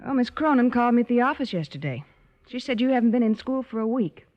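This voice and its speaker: An actress playing a patient, gently firm young woman looking after a younger brother in an old-time radio drama. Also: fairly high pitch, animated, fast talker, lightly acted. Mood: concerned, firm. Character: concerned, patient young working older sister. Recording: muffled old radio recording.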